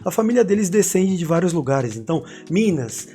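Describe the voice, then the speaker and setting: A man in his thirties with a Paulista accent tells his own story in a relaxed, reflective tone with bits of street slang. His voice sits slightly high but sounds warm and mellow. Soft music plays faintly behind him. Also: a little fast. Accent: Paulista Brazilian Portuguese. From São Paulo, Brazil. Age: 30s. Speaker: YouTuber. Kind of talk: personal storytelling to camera.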